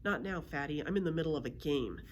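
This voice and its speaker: A woman's voice: low-pitched, animated, fast talker, slight background noise. A woman voicing a cocky, hot-tempered bully of a boy in a storybook, curt and blustering. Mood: dismissive.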